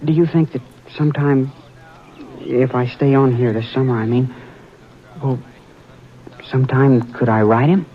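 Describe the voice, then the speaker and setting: A man's voice: medium pitch, steady tone, measured pace, long pauses, close to an everyday voice. An actor playing a frightened young ranch boy in an old radio drama, talking in a strained, pleading way. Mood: curious. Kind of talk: 1950s radio drama acting. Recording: dull old radio transcription.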